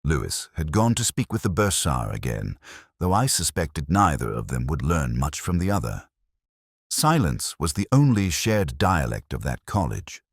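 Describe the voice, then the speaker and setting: A man narrating a scripted first-person detective story in measured, literary phrasing. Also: rumbling low, big pitch swings, slightly rough, no room sound, studio quality.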